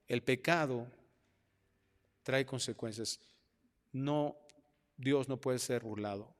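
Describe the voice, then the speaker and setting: A middle-aged man with a Mexican accent delivers a sermon in an ordinary mid-range voice. He preaches with animation, dropping into quiet asides and rising loud to mock excuses. A long reverb from the church hall trails each phrase. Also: mid-range voice, slow pace. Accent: Mexican Spanish. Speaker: pastor.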